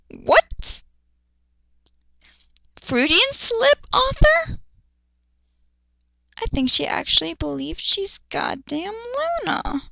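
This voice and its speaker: High, bright-voiced woman ranting through a reading. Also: gravelly, dry recording. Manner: exasperated, sarcastic, foul-mouthed. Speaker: host of dramatic fan fiction readings. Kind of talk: dramatic reading with ranting asides.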